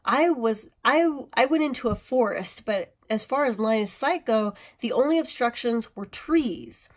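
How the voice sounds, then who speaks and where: A woman's voice: a little high, expressive, measured pace. A woman in her thirties with an American accent, explaining things informally to viewers in a conversational, hedging way. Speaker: host of a prepping channel.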